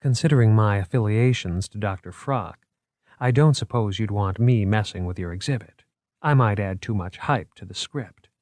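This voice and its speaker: A man reading an audiobook, voicing a sharp, skeptical young female student in a dry, measured manner. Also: lowish voice, no echo, lightly acted. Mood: pointed. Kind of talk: audiobook character voice.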